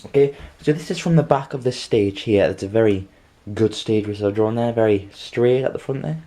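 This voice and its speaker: A man presenting an informal how-to demonstration, halting and rambling, often checking in with the listener. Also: lowish voice, warm tone. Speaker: video tutorial presenter.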